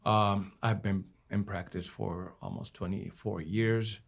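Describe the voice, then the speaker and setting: A man from Egypt, a physician, introducing himself formally with a measured delivery. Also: deep voice, steady tone, gravelly. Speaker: physician guest. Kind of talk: self-introduction in interview.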